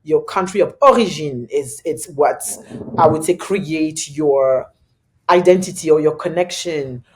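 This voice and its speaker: A guest from Europe with a thick French accent, chatting casually on a podcast and telling animated stories full of "you know" fillers. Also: medium pitch, measured pace, slight background noise. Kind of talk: casual conversation.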